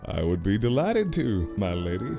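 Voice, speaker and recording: A man's voice: fairly low pitch, big pitch swings, moderate pace, over music. A man playing a plainspoken, gallant adventurer in a radio play, with a folksy, polite way of talking.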